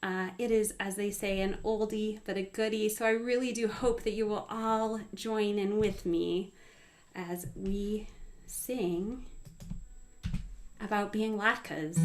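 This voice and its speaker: A woman speaking as a singer, casually introducing a song for people to join in with at a community concert. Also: mid-range voice. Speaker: singer leading a community sing-along.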